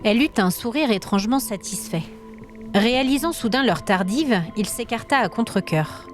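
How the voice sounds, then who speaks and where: A woman storyteller reading scripted dramatic narration in an expressive, suspenseful manner. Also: on the high side, moderate pace, soft background music.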